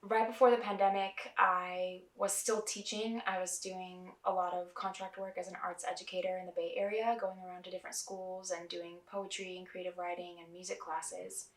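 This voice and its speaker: Singer-songwriter woman, young, documentary interviewee; reflective, measured; echoey room. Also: lowish voice, flat delivery. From New Mexico. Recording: roomy, distant mic.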